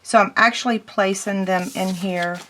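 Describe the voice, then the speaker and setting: A woman demonstrating paper crafts in a casual tutorial, her voice squeaky from strain, chatting through each step with ums. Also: fairly even intonation, normal speed, a bit bright, a little hiss or hum.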